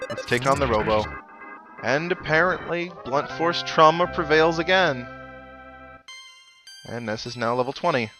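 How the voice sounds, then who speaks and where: A man hosts a video game let's play in a casual, conversational way. His voice sits in a middle register, and he drops wry little asides as he comments. Game music plays clearly behind him. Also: medium pitch.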